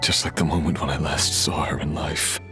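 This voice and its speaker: An actor playing a sombre, abyss-corroded resurrected warrior in a video game, grave and remorseful, with halting, heavy pauses. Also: male voice, very deep voice, flat delivery, over music. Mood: solemn, pleading. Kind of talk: dramatic video game dialogue.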